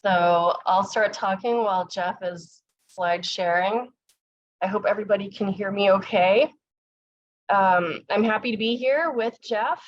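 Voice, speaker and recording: A woman conservator giving a virtual lecture while getting over a cold, speaking in an earnest, measured way. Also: medium pitch, highs cut off. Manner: earnest, measured, sad but hopeful. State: recovering from a cold. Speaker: museum conservator giving a talk. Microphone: computer mic on a video call.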